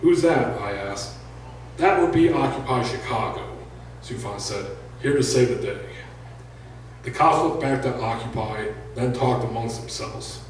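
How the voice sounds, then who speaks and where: A man's voice: medium pitch, measured pace. A man who is an author reads his own first-person story aloud at a live indoor reading. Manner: raises voice for shouted dialogue. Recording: echoey room, distant mic, faint noise.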